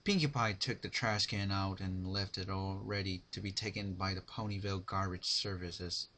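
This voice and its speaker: A man's voice: low-pitched, steady tone, normal speed, pushed and bright. A man narrating a dramatic reading of fan fiction, with some faint noise in the recording.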